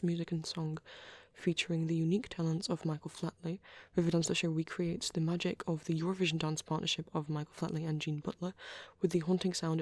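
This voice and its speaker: A shy vampire boy, played by a man, rambles softly in an ASMR roleplay. His voice is low-key and gravelly with fry, hesitant and bashful. It sounds very close and dry, often sinking toward a whisper. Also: no echo. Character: shy, soft-spoken vampire boy on a date. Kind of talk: rambling intimate ASMR chat.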